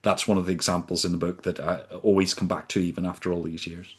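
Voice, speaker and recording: A man in his fifties from the UK, a podcast guest recounting his career in a measured, deliberate way. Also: deep voice, flat delivery, breathy edge. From United Kingdom. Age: 50s. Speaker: podcast guest, sustainability consultant. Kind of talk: reflective storytelling in conversation.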